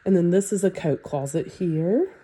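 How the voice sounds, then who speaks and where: A woman's voice: on the low side, normal speed, husky. A woman with a stuffy, nasal voice from a sinus infection, casually walking through a house as a real estate agent. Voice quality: nasal, congested. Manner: relaxed and chatty, with fillers like "um" and "like". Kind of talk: casual home walkthrough tour.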